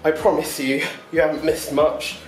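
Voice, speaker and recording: A man's voice: a little high, little pitch movement, moderate pace, distant mic. Man chatting in an echoey room. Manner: rambling, chatty, with many fillers and short laughs. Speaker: vlogger. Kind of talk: casual daily vlog chat.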